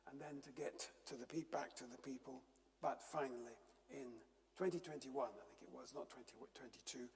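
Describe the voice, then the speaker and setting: Gravelly older man lecturing in a church hall. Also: fairly high pitch, a little mellow. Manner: measured, wry storytelling with frequent ums. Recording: long reverb of a church hall.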